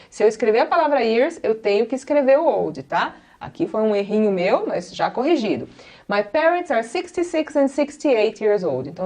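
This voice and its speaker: A Brazilian woman in her forties with a Brazilian Portuguese accent, an English teacher patiently explaining and slowly repeating each example. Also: fairly high pitch, a little mellow. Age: 40s. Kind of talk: classroom-style explanation.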